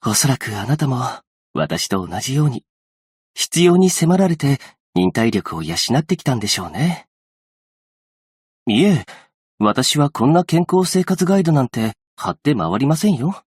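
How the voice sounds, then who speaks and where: Soft-spoken, husky young aid worker. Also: male voice, mid-range voice, sing-song, no echo, clean studio sound. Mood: polite, patient. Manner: polite, soft-spoken, measured formal speech. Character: gentle, patient, earnest young male aid worker. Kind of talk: scripted game character voice lines.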